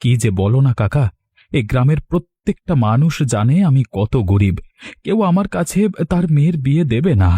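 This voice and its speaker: A man's voice: mid-range voice, expressive, normal speed, dark tone, slightly rough, dry recording. A man playing a poor, hardworking young farmer in a Bengali cartoon, humble, plain-spoken and resigned.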